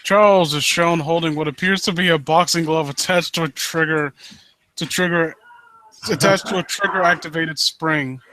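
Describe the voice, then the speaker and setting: A man's voice: a little high, steady tone, normal speed, sharp tone, rough recording. A man giving casual, mocking commentary as he reads a story, sarcastic and exasperated, with plenty of swearing. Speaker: co-reader and critic on a live reading stream.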